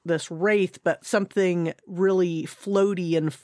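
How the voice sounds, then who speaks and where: A woman's voice: fairly low pitch, steady tone, slow pace, darkish tone, no room sound. Woman telling stories casually, dry close recording. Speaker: podcast panelist. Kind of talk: casual storytelling chat.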